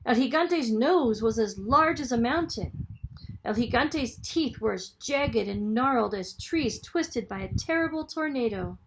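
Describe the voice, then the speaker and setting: A woman reading a children's story aloud in a dramatic, expressive storytelling manner. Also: fairly high pitch, measured pace, slight background noise, rough recording. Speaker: storybook reader, school superintendent.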